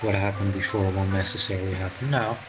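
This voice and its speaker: Young Portuguese guy as interview guest, low-ish voice, halting, music under a dull lo-fi line. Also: steady tone. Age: 20s. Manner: hesitant, many fillers and restarts. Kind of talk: casual interview in VR.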